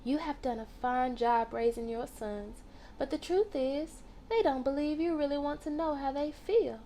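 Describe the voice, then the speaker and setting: A woman voicing a sweet, wise young nanny in a storybook, gentle, earnest and expressive. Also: sits high, moderate pace, slight background noise, pitched above the performer's own voice.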